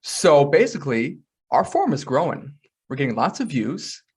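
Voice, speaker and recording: A man's voice: on the high side, very animated, moderate pace, breathy edge. A man co-hosting a casual podcast in an upbeat, playful way. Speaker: podcast co-host. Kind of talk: casual chat.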